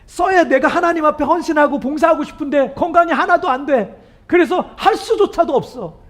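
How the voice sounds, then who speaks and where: A male pastor preaching a sermon in an earnest, emphatic way, often putting questions to the congregation. His voice is weakened and hoarse and cracks on high notes. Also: fairly quick.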